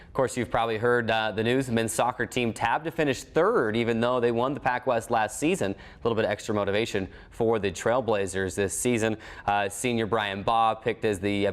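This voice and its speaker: A man hosting a sports show, recapping results and interviewing guests in a studio. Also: fairly low pitch. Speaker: sports show host and play-by-play announcer.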